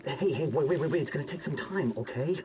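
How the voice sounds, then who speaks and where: A man playing an anxious government research scientist in a hazmat suit, with halting, stammering speech, in cinematic video game acting. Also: a little high, over music, close to an everyday voice. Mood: edgy, secretive.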